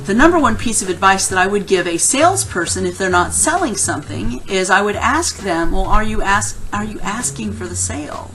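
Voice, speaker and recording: A woman's voice: mid-range voice, expressive, fairly quick, few pauses, fairly bright tone, faint music behind. A woman giving instructional career advice in a conversational, emphatic way, like a coach speaking to viewers. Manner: conversational, emphatic, acts out example lines. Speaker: career coach presenting interview tips. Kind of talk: instructional advice talk to camera.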